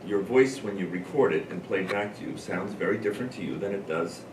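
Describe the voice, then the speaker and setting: A low-pitched man heard from a distance in a noisy room. He is a drama teacher talking informally, explaining things and thinking aloud as he goes. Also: fairly quick. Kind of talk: informal talk to an audience about teaching. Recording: roomy, noisy, distant microphone.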